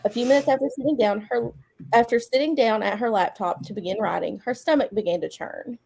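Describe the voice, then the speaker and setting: A woman's voice: a little high, a little fast, vocal fry, warm tone, husky, low-quality recording. A woman giving a dramatic reading of a story, mixed with casual chat among friends. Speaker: guest reader on a live show.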